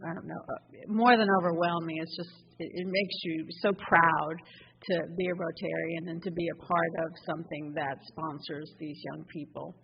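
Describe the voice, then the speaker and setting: A woman hosting a conference, introducing the next speaker with halting, emotional remarks and many fillers. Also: sits low, fairly even intonation, gravelly, a little hiss or hum. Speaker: event host. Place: conference hall. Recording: dull sound with long hall reverb.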